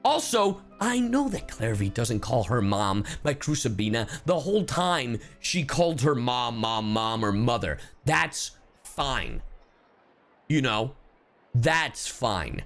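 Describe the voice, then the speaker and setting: A male streamer talks casually over faint game music, his pitch leaping around a middle register. He rambles and swears freely as he comments on the game. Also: big pitch swings, takes it slowly, a bit bright. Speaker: livestreamer.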